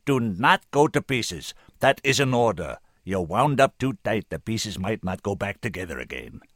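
Man acting a weighty, very deep old senator. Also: big pitch swings, dry recording, clean studio sound. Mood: thundering, commanding. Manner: slow, deliberate, thundering when roused. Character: old male senator, weary, commanding, gravely kind.

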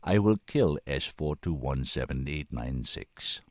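A man voicing an old senator in an audiobook story, weary, commanding and gravely kind, speaking slowly and deliberately. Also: extremely low pitch, expressive, a little mellow, no echo, full character voice. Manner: slow, deliberate, thundering when roused.

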